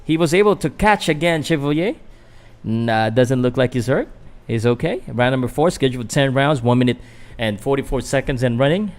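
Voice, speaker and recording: A man's voice: mid-range voice, normal speed. Casual man calling a boxing fight. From Philippines. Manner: casual, hesitant, many fillers, answers chat. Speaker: boxing commentator and channel host. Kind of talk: live stream commentary, chatting with viewers.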